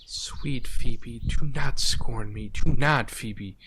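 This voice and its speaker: A man's voice: medium pitch, takes it slowly, bright, forceful tone. A man acting a lovesick young shepherd, pleading melodramatically in a Shakespearean radio play. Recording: faint background noise and ambience.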